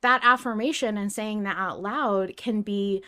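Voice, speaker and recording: A woman with a mid-pitched voice co-hosts a fan podcast. She talks casually and reflectively, leaning on key words and often voicing what someone might say. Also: a little slow, pushed and bright. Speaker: podcast co-host.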